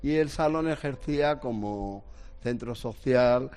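A man's voice: mid-range voice, measured pace, darkish tone, clear, resonant tone. Man in his sixties, guest, rambling, hesitant, full of restarts, casual storytelling. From Spain. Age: 60s. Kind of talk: casual chat.

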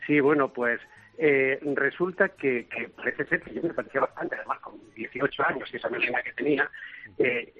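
A man's voice: mid-range voice, fairly quick, slightly rough, soft background music. An older man from Jaén with a slight Madrid accent, calling in by mobile and telling a story with many fillers. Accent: slightly Madrid Spanish. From Jaén, Spain. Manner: chatty storyteller, hesitant fillers. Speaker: caller. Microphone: mobile phone. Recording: tinny phone line.

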